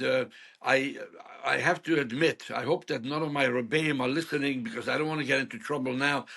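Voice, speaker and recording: A man's voice: medium pitch, creaky, fairly bright tone. A man in his seventies from Hungary, speaking, telling stories frankly as a guest in an interview. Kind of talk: storytelling interview.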